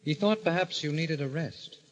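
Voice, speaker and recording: An actor playing a scheming, smooth-talking husband in an old-time radio mystery, coaxing and insistent in a theatrical way. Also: male voice, on the high side, moderate pace, highs cut off, close to an everyday voice. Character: scheming, smooth-talking husband secretly driving his wife mad. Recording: noisy vintage radio recording.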